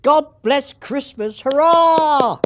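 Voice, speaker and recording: Man playing a meek, high-voiced clerk. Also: slow, lo-fi sound, background noise. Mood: cheerful. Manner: meek, stammering, deferential. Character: timid, cheerful, downtrodden male clerk. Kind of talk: radio play acting.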